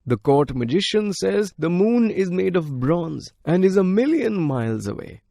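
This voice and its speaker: A man voicing a worried, quick-tempered king and doting father in a children's story, grave and pleading. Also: sits high, big pitch swings, creaky, mellow, no echo, clean studio sound, pitched above the performer's own voice, heavily acted. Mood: despairing.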